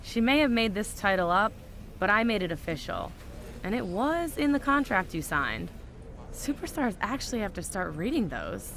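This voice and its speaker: A woman's voice: mid-range voice, sing-song, normal speed, fairly bright tone, a little hiss or hum. An actress playing a sarcastic, no-nonsense woman general manager in a wrestling video game, dry and authoritative. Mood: stern. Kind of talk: video game cutscene acting.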